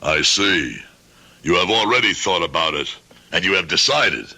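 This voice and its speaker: A man's voice: deep voice, animated, normal speed, gravelly, heavy background noise. An actor playing a proud, stubborn older shoemaker and father, earnest and emphatic, in a radio drama. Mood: indignant, pleading. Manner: earnest, emphatic, formal simple phrasing. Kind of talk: old-time radio drama acting.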